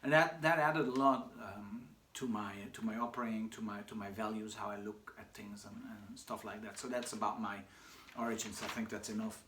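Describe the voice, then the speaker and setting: A man who grew up speaking Hungarian and German, talking casually about himself, with many pauses, fillers and restarts. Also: fairly low pitch, steady tone, fairly bright tone. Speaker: shop owner answering questions. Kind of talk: casual personal monologue. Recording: echoey room with faint background noise.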